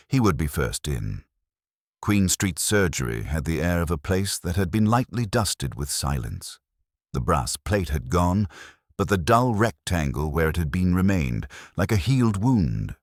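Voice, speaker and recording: A man reading a detective story as scripted narration, measured and dryly understated, giving voice to its dialogue. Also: low voice, very animated, no room sound, clean studio sound.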